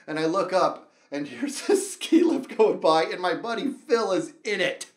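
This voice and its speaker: A middle-aged man telling a casual, rambling comedic story in an animated way, acting out dialogue and reactions as he goes. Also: high-pitched, a little slow, roomy sound. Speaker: storyteller and show host.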